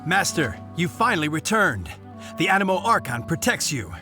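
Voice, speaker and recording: A man's voice: fairly high pitch, sing-song, normal speed, over music. A man playing a nervous, deferential young guard knight in a game cutscene, hesitant and apologetic, stumbling over his words. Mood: respectful. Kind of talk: video game cutscene acting.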